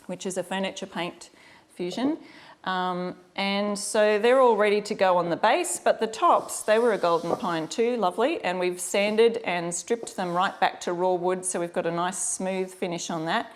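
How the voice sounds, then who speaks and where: A woman with an Australian accent giving a casual live craft tutorial, chatting informally and directly to her viewers. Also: mid-range voice. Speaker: host of a live craft demonstration. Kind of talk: casual livestream tutorial chat.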